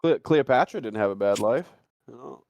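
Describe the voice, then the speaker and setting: A man's voice: mid-range voice, animated, normal speed, gravelly, a little mellow, lo-fi sound, a little hiss or hum. A man hosting a casual talk stream, interviewing a guest in a rambling way and often circling back to rephrase his questions. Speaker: stream host.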